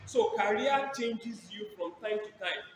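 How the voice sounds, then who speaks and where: A man's voice: high-pitched, a little slow, slight background noise. A man giving a lecture to students, asking them questions and repeating his key points. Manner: rhetorical, repeats key phrases, asks the audience questions. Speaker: guest speaker. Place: large lecture theatre. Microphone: hand mic over a PA system. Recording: echoey hall, PA sound from far off.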